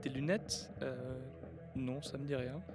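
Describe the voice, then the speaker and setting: A man's voice: medium pitch, measured pace, over music, natural voice. An actor playing a confused young man who has lost his memory and keeps asking what happened. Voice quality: bewildered, easily led.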